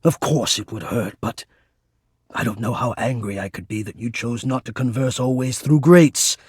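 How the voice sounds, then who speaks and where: A man's voice: mid-range voice, moderate pace, darkish tone, husky, no room sound, studio quality. An actor voicing a lovesick, anxious male spirit of the air vents in a video game, with intense, theatrical and rather formal delivery. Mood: despairing, self-pitying. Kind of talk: dramatic romantic video game voice acting.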